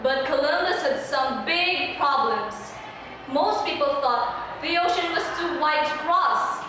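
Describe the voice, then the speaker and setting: High-pitched young woman narrating in echoey hall. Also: animated, measured pace, noisy background. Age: young adult. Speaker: student narrator. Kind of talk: scripted storybook narration. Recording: echoey hall from far off, music behind.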